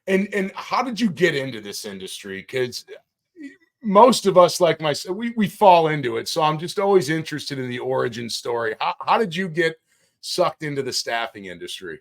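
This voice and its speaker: A man's voice: on the high side, big pitch swings, moderate pace. A man hosting a casual interview, upbeat and encouraging as he asks questions. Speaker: interview host.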